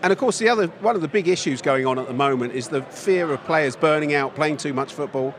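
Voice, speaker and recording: A man's voice: fairly high pitch, fast, a little hiss or hum. A man interviewing a guest in a casual, friendly way, often ending his questions with "isn't it?", recorded outdoors at a trade event.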